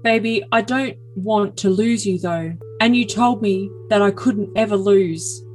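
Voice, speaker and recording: A woman's voice: medium pitch, fairly even intonation, measured pace, darkish tone, faint music behind, lightly acted. A woman playing a possessive, earnest young boyfriend with stilted endearments in a dramatic comedic reading of text messages. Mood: pleading.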